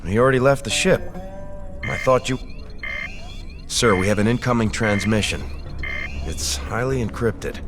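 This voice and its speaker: An actor playing an earnest, dutiful starship second-in-command in a video game, reporting in a formal, respectful military manner. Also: male voice, medium pitch, a little slow, long pauses, over music, lightly acted. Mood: alert. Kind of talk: video game cutscene acting.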